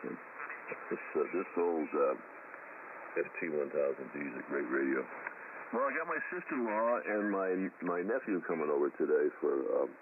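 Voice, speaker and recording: Older man, deep bassy voice, halting rambling talk, phone-thin radio sound, loud static hiss. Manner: rambling, halting with many fillers. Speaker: ham radio net caller. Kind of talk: casual radio chat. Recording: tinny ham radio transmission with static.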